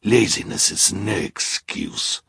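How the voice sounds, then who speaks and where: A pompous, menacing male villain from a storybook. The voice is low and rasping, and it swoops with scornful sneers and slow, drawn-out snarls. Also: animated, a bit bright, dry recording, heavily acted. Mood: sneering. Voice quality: growling, gravelly.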